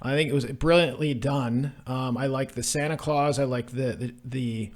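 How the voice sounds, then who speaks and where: A man co-hosting a podcast in casual chat, with halting, meandering phrasing. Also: mid-range voice, steady tone. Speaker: podcast co-host.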